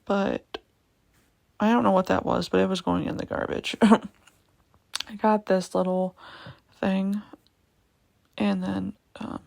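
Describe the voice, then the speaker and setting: A young woman with a raspy voice giving a casual vlog voiceover, hesitant, with many ums, and repeating herself. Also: medium pitch, level tone, slow, long pauses, darkish tone, no echo. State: raspy voice, going in and out. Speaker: vlogger.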